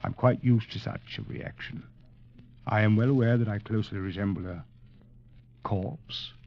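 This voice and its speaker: An actor playing an eerie, corpse-like older male doctor in a 1940s radio play, speaking softly with unsettling politeness. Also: lowish voice, lightly acted. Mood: sinister. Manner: slow, soft-spoken, unsettlingly polite. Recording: lo-fi vintage radio broadcast.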